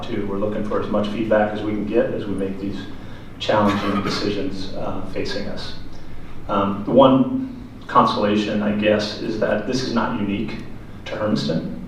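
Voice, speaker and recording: A man chairing a public meeting, explaining procedure in a measured, hesitant, plain-spoken but formal way. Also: lowish voice, level tone, recorded from a distance. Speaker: city council chair running public comment. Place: council chamber at city hall. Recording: echoey meeting room from afar, faint hiss.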